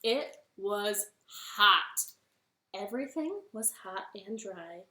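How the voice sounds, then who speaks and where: A woman's voice: medium pitch, expressive, slow pace, sharp tone, reverberant. A woman hosting a library storytime, reading to children in a cheerful, sing-song way from her living room.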